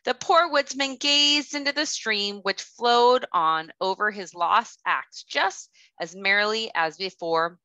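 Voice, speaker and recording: A woman in her thirties, a kindergarten teacher reading a children's storybook aloud with careful, expressive delivery. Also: medium pitch, slow, hardly any pauses, no room sound. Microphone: headset.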